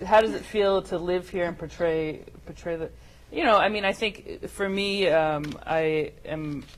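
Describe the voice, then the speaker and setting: A woman's voice: lowish voice, unhurried, low-quality recording. A woman in her thirties, a filmmaker guest on a talk show, talking casually and hesitantly with many restarts. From United States. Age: 30s.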